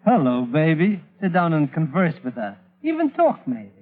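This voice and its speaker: An eager, flattering comic sidekick in a radio drama. His high voice swoops about in stilted, excitable phrases. The old recording is tinny. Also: moderate pace. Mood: familiar, teasing. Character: eager, ingratiating comic foreign hanger-on. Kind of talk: 1940s radio acting.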